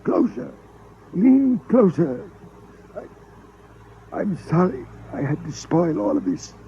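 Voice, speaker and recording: Dying elderly scientist, weary, apologetic, fairly high voice, very slow halting delivery, old radio drama, noisy recording. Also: male voice, sing-song, dull audio, exaggerated voice. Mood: weary, resigned. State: weak and dying. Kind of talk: 1950s radio drama acting.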